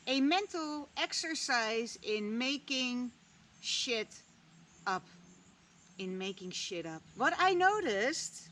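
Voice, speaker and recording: A woman whose native language is Dutch, reflecting slowly and candidly on a spiritual lesson, with long pauses and the odd casual swear word. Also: fairly high pitch, expressive, fairly bright tone, slight background noise. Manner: slow, deliberate, musing with long pauses. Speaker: spiritual vlogger. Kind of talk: reflective personal talk.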